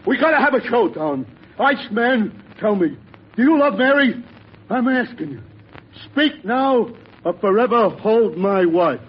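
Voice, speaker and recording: High-voiced, halting wronged husband. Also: male voice, animated. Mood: mock indignation. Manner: halting, mock-indignant. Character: John, meek lovable wronged husband in a spoof. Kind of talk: 1940s radio comedy acting. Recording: dull noisy old radio with audience.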